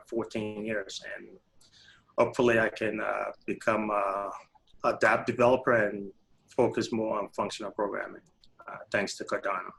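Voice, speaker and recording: A man, a software developer introducing himself on an interview panel, hesitant with many fillers and restarts. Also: lowish voice, vocal fry, low-quality recording. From Liberia. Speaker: stake pool technical lead and software developer.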